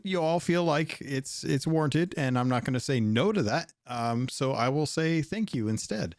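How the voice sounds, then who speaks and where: A man hosting a podcast in a casual, rambling way, hoarse from a cold and often correcting himself. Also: medium pitch, no room sound, clean studio sound. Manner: rambling, self-correcting, thankful. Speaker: podcast host.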